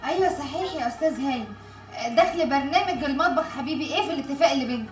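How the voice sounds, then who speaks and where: A woman's voice: high voice, very fast, lightly acted. An actress playing a puzzled, questioning Egyptian woman at a TV channel in a comedy series. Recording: echoey room with music behind.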